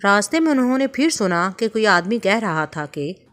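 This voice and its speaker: Woman, storyteller, scripted narration for children, calm, measured, medium pitch.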